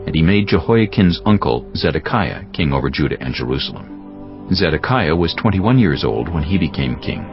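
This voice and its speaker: A man with a very deep voice narrates scripture as a solemn storyteller, with widely moving intonation. Music runs clearly behind him, and the sound is slightly dull. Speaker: audiobook narrator. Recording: muffled, music bed behind.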